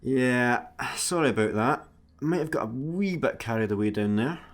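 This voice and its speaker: A man's voice: medium pitch, lively intonation, moderate pace, close to an everyday voice. Radio sci-fi comedy lead: actor as Scottish male layabout, impulsive, keyed up, a bit awkward and apologetic. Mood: sheepish.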